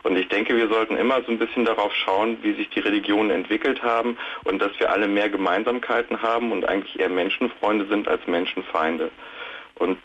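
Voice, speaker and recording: A man's voice: lowish voice, fairly even intonation, fairly quick, a bit bright. A man in his late thirties calling a radio show, speaking casually in a reflective, conciliatory way over a dull phone line with noise. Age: late 30s.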